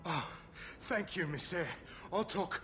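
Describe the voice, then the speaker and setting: A man's voice: high-pitched, slow pace. An actor playing a desperate, humble refugee and family man pleading his case in a video game drama. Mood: humbly grateful. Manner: pleading, humble, plain-spoken working folk. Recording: music behind the voice.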